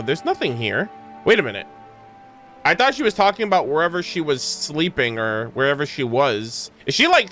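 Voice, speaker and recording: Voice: medium pitch, a bit bright. A game streamer reacting casually to a story as it plays, exclaiming in slang and swearing freely.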